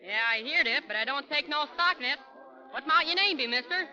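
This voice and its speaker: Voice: sits high, expressive, brisk, sharp tone, faint music behind, exaggerated voice. A performer playing an eager, inquisitive small frontier boy with folksy slang in a 1930s radio drama. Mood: eager, curious. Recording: muffled vintage 1930s radio broadcast.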